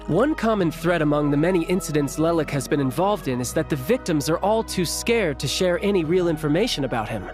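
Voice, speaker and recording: A man's voice: on the high side, expressive, a little fast, hardly any pauses, over music, lightly acted. A man voicing a breezy, confident young male officer in a video game, with a chummy, assured manner. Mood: businesslike. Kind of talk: video game cutscene acting.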